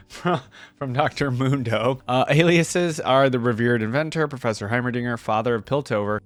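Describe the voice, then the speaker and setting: A man's voice: mid-range voice, dry recording. A man giving casual, conversational reaction commentary, sometimes reading aloud. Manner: conversational, informal. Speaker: reaction video host.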